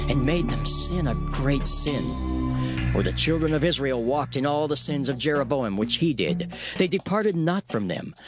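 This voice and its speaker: A male narrator reads scripture as drama, solemn and measured, with emphatic phrasing. His mid-pitched voice moves with lively inflection over loud music.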